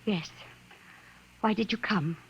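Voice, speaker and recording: A woman's voice: mid-range voice, takes it slowly. An actress playing a worried young captive woman, subdued and anxious, in a science fiction radio drama. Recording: muffled old radio recording, faint noise.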